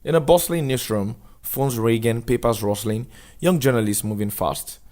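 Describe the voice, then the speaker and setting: A man narrating a dramatic script, setting scenes in a deliberate, solemn way. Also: medium pitch, darkish tone.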